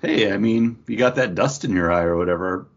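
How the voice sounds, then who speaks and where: A man co-hosting a podcast in a casual, chatty style, with a husky voice. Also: deep voice, fairly quick. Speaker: podcast co-host. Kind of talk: casual chat. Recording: dull lo-fi audio.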